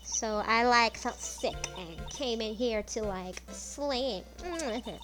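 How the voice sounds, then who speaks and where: A woman's voice: a little high, slow pace. Figure: ditzy valley girl voiced by a woman. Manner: "like"-heavy. Behind: game music. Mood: airy. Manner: airy, filler-heavy, says "like" constantly. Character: ditzy valley-girl female college student. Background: video game music.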